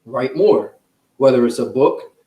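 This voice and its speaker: A man's voice: medium pitch, expressive, measured pace, warm tone. A man speaking as a guest author, earnest and encouraging in casual, motivational interview talk. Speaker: children's book author, guest. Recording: roomy, echoing sound.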